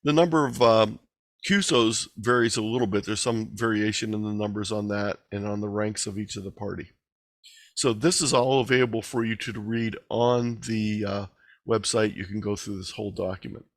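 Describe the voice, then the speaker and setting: A man's voice: fairly low pitch, fairly even intonation, normal speed, dry recording. A man giving an informal online presentation, explaining and reading out figures in a conversational way. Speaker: presenter of an amateur radio talk. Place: online video meeting.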